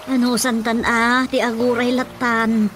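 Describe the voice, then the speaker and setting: A high-voiced village mother. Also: little pitch movement, unhurried, a bit bright. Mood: concerned. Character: practical, reassuring village mother. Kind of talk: radio drama acting. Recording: music bed under voice. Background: music.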